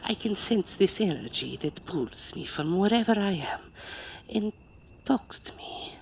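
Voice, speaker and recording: An actress playing an eerie old woman who is a spirit medium, speaking slowly and ominously in an audio drama. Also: mid-range voice, lively intonation, creaky, a little mellow, in a whisper. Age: older.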